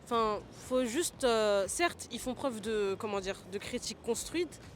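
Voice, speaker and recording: A man's voice: high-pitched, lively intonation, unhurried, a little hiss or hum. A man passerby giving critical opinions, often searching for the right words. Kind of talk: casual street interview opinion. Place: outdoors on a city street.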